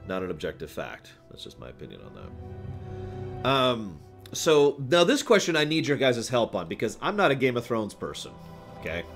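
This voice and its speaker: Animated man streaming with music behind him. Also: mid-range voice, normal speed, creaky. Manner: animated, chuckles through his points, acts out examples. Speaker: livestream host answering viewer questions. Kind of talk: casual off-the-cuff livestream commentary.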